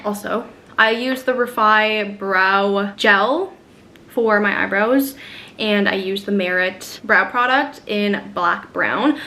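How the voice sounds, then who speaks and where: A young woman chatting casually to camera in a vlog while doing her makeup at home, upbeat and full of soft fillers. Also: on the high side. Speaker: lifestyle vlogger. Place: at home in front of a mirror.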